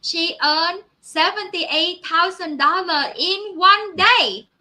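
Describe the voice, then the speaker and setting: A woman hosting an online business pitch, speaking emphatically and repetitively in non-native grammar, like an enthusiastic testimonial. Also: takes it slowly, bright, forceful tone. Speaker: host of online business promotion.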